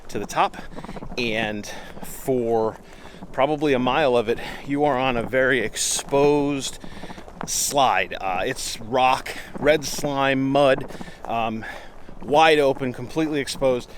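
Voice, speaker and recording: A man telling an unscripted story in a casual, conversational way, with frequent pauses and slangy asides. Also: medium pitch. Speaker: outdoor vlogger telling a story. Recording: clear ambient background noise.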